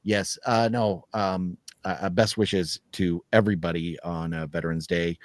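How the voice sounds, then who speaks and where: A man's voice: low-pitched, steady tone, moderate pace, no echo, studio quality. A man in his fifties hosting a casual livestream chat, reading viewer comments in a rambling, profane way with frequent laughter. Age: 50s. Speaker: podcast host.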